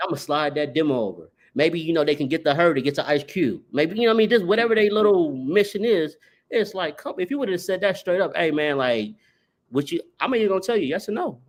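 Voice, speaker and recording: A man co-hosting a podcast in casual chat, telling stories with a voice that jumps up on punchlines. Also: fast. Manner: animated storyteller, rising pitch on punchlines. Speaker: podcast co-host.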